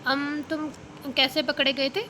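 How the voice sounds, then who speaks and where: A woman's voice: sits high, flat delivery, unhurried, pushed and bright, slight background noise. A woman voicing a nervous young woman interviewer in a novel read aloud, stammering as she talks.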